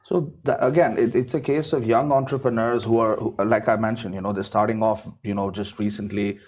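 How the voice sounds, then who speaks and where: A man's voice: lowish voice, steady tone, fairly quick, a little mellow. A man speaking as a guest in a casual panel discussion, often repeating words and ending points with "right?". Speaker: business setup guest.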